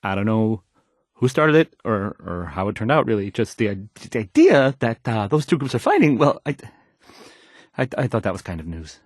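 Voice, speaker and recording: A man's voice: fairly low pitch, huge pitch swings, no echo, studio quality. A man playing a timid, awkward young radio DJ in a video game, hesitant and apologetic as he stammers through the news in a shaky voice. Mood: flustered, unsure. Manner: stammering, halting, apologetic, trailing off. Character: timid, awkward young male radio DJ, anxious and unsure of himself.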